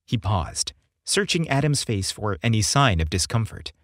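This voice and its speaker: A man's voice: fairly low pitch, sing-song, moderate pace, a bit bright, no room sound. A man narrating a scripted story as an audiobook reader.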